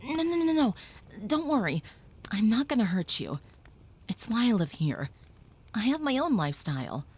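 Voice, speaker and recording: A woman voicing a gentle, protective young female vampire in an audio roleplay, speaking softly and reassuringly, sometimes whispering. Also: fairly high pitch, sing-song, moderate pace, long pauses, breathy edge.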